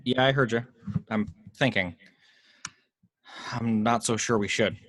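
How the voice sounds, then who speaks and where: A man's voice: medium pitch, unhurried, fairly bright tone, dry recording. A man playing a stubborn, weary, sardonic superhero in an amateur script read, with a dry conversational delivery. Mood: tense, stubborn.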